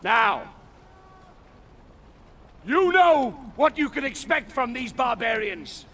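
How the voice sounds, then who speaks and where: A high-shouting, fierce Roman officer. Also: male voice, lively intonation, gravelly, slight background noise, exaggerated voice. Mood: rousing, fierce. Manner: bellowing, declamatory, drawn-out emphasis. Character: fierce Roman officer rallying his legionaries with fiery pride. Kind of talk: dramatic video game battle speech.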